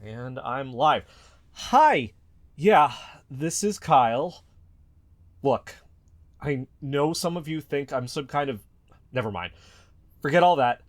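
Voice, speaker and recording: An anxious young podcaster acted by a man in a naturalistic audio drama. He speaks slowly in a medium-pitched voice, halting and breaking off as he tries earnestly to be believed. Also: lively intonation. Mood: anxious, urgent. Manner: halting, breaks off mid-sentence, sighing. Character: anxious, earnest young male podcaster livestreaming desperate proof.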